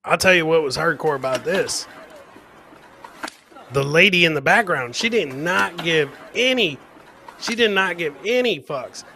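A man's voice: on the high side, lively intonation, a little slow, gravelly, pauses often, background noise. A middle-aged man doing casual, jokey live commentary on video clips as a comedian host, often repeating his catchphrases. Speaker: comedian hosting a livestream clip show.

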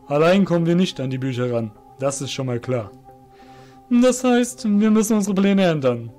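A man playing an irritable, authoritative master of a shadow guild, speaking loudly and emphatically. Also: on the high side, lightly acted.

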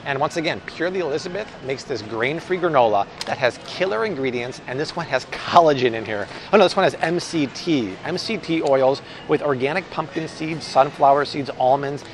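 A man's voice: a little high, lively intonation, fairly quick, hardly any pauses. An American man in his thirties, upbeat and chatty, giving a casual, enthusiastic product review as a vlog host in a supermarket. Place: large supermarket aisle, indoors. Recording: clear store ambience and hum.

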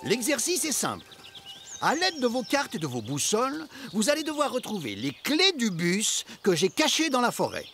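Animated older cartoon schoolteacher. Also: male voice, very high voice, normal speed, soft background music, exaggerated voice. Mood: instructive. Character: older male teacher leading a school forest outing.

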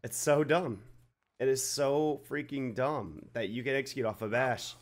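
A young man with a slightly raspy voice giving casual, swearing, excited commentary over a video game he plays at home. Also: medium pitch. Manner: swears freely, excited outbursts and quick reactions. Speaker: gaming YouTuber. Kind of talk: live gameplay commentary. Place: home gaming setup, indoors.

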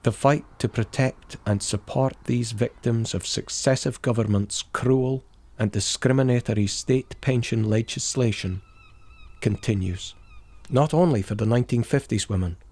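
A man giving a measured, indignant scripted documentary narration as a voice-over. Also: mid-range voice, darkish tone.

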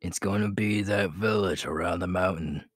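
A man playing a ruthless, menacing young werewolf alpha in an ASMR audio roleplay, gravelly and breathy, slow and boastful, often dropping to a whisper. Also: low-pitched, dry recording. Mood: menacing, ambitious.

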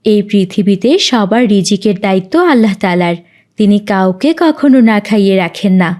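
A woman's voice: mid-range voice, moderate pace, darkish tone, lightly acted. A woman voicing an earnest, preachy young aunt in a cartoon. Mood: reassuring, earnest. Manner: earnest, admonishing. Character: kindly, preachy young aunt helping street children. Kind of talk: scripted cartoon dialogue.

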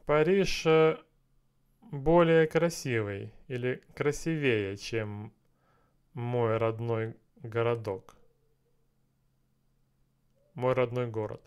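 Slow smooth guy on a headset mic, thinking out loud through drills, repeats every phrase. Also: medium pitch. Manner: slow, repeats and translates phrases, mutters to himself. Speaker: language learner streaming a lesson. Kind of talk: thinking aloud while doing app exercises. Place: small room indoors.

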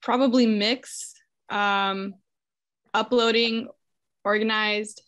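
A young woman, a student volunteer, giving brief, soft-spoken answers on a video call. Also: medium pitch, steady tone, slow, smooth.

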